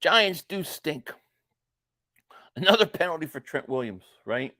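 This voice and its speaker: High-pitched guy late 40s, rambly live stream chatter, reads out comments, dry close sound. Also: expressive, a little slow, pushed and bright, dry recording. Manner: rambling, chatty, jokey asides. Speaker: live stream host reacting to a football game. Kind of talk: casual live stream chat, reading viewer comments aloud.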